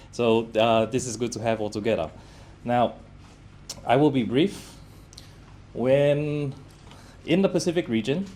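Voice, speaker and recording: A man's voice: mid-range voice, a little mellow. A man giving informal opening remarks as a company manager at a live event. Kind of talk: informal public speech. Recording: live event with background noise.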